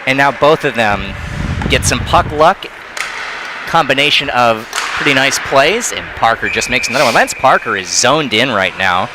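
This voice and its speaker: Excitable man calling hockey play-by-play. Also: mid-range voice, crowd noise, background noise. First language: not Thai. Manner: rapid, excitable calls on big plays. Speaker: play-by-play commentator.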